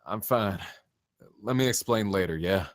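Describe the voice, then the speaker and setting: A man's voice: lowish voice, slow, no room sound, clean studio sound. A man playing a weary, withdrawn young man who brushes off concern, in a screenplay table read. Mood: subdued.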